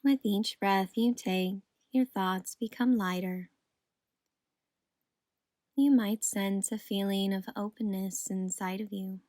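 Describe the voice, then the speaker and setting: A woman guiding a meditation in a soothing, gentle, unhurried manner. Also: mid-range voice, fairly even intonation, dry recording. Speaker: meditation guide. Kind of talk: guided meditation narration.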